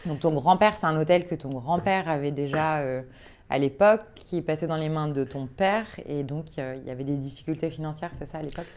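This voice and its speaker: A woman's voice: deep voice, a little fast, darkish tone. A woman hosting an interview podcast in a casual, informal and encouraging conversational way.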